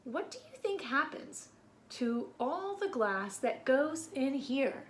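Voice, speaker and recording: A woman's voice: high voice, sing-song, slow, exaggerated voice. A woman voicing a patient male schoolteacher in a children's storybook, explaining things and prompting with questions. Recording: roomy echo with background noise.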